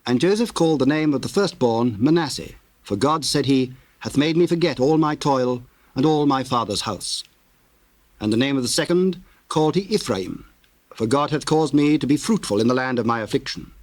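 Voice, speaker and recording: A man narrating scripture in a solemn, measured, formal way with theatrical diction. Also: medium pitch, expressive, a little mellow, dry recording.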